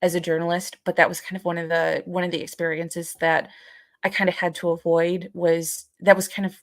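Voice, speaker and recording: Young woman podcast co-host, slightly low voice in a dry recording; thoughtful, conversational, circling back over her phrases. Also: flat delivery, dry recording. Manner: halting, repeats phrases while thinking. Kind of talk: reflective casual conversation.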